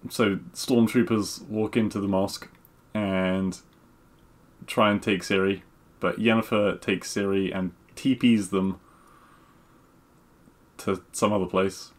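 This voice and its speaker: A man with a lowish voice talks as a podcast co-host. His delivery is conversational and unscripted, and he hesitates as he pieces his thoughts together. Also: fairly even intonation, slow pace, long pauses. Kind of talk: casual chat.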